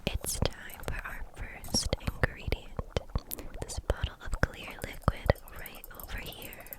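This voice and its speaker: A woman whispering an ASMR roleplay as a gentle, kindly kitchen witch, soothing and unhurried in her manner.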